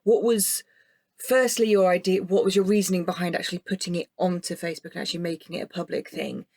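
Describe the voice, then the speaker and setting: A woman's voice: lowish voice, little pitch movement, fast talker, a little mellow, dry recording. Woman hosting a casual, dry-sounding podcast. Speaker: podcast host interviewer. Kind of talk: casual conversational interviewing.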